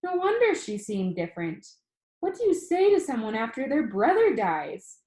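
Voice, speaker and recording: A woman reading a novel aloud as expressive storybook narration, telling it in the first person. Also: sits high, moderate pace. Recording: roomy, echoing room sound.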